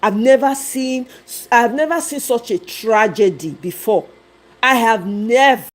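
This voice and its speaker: A woman's voice: on the high side, expressive, a little slow. A woman with a Nigerian accent hosting a live stream with casual, exclamatory commentary, dropping in bits of Pidgin.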